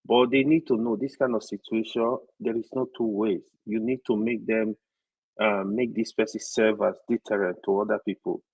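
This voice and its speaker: A Nigerian man on a panel talk, speaking earnestly and with many halting restarts. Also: on the low side, steady tone, darkish tone, husky, low-quality recording. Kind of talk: panel discussion.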